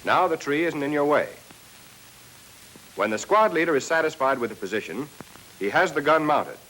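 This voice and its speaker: An American man narrating a 1940s military training film, reading a scripted lesson and addressing trainees directly with clear instructions. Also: a little high, animated, moderate pace, pushed and bright, poor audio.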